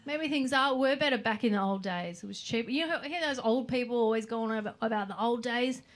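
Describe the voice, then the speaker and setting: A woman's voice: a little high, a little fast. A woman in her forties with an Australian accent doing a brash, sweary, self-mocking stand-up comedy set on a club stage. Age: 40s. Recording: live club audio, audience noise. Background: audience laughter.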